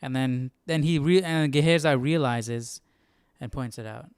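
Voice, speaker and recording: A man's voice: medium pitch, a little slow, clean tone, dry recording, clean studio sound. A man hosting a casual live Bible study, musing and questioning as he thinks aloud. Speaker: host.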